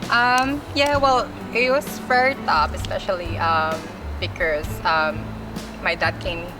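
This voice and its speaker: Filipino woman, really low voice, lots of ums, loud music behind. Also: steady tone, slow. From Philippines. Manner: hesitant, with many "um"s. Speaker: interviewee. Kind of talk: personal interview answers. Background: loud background music.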